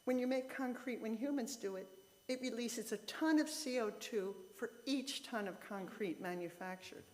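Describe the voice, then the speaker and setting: A woman's voice: on the high side, moderate pace, darkish tone. Woman giving a talk in a reverberant hall. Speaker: lay speaker at a church service. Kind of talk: informal lay sermon with slides. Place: church sanctuary, speaking to a congregation. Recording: reverberant hall with long echo.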